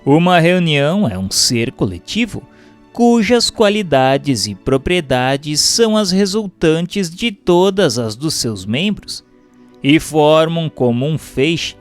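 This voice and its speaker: A man with a Brazilian accent giving a formal, measured, didactic scripted reading of a religious text as a narrator. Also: fairly high pitch, very animated. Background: background music.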